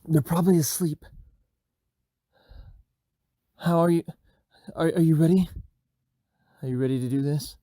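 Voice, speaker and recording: A man's voice: a little high, a little fast, dark tone, no room sound, clean studio sound, natural voice. A young man playing an anxious, obsessively lovesick teenage boy, stammering and repeating himself in a motion comic drama. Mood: nervous, tender.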